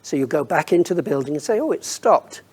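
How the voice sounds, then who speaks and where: Guy with a slightly high voice, panel expert explaining technical stuff off the cuff. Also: lively intonation, fast talker, a little mellow, husky. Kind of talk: informal technical explanation to a room.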